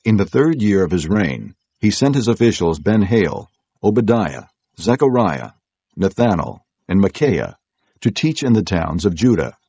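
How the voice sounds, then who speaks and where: Low-pitched man narrating scripture, dry sound. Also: very animated, measured pace, mellow, slightly rough, no room sound. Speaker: audiobook narrator.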